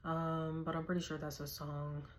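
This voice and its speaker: A woman's voice: deep voice, monotone, moderate pace. A woman, giving a casual, slangy tarot reading and thinking aloud as she goes. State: hoarse. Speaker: tarot reader.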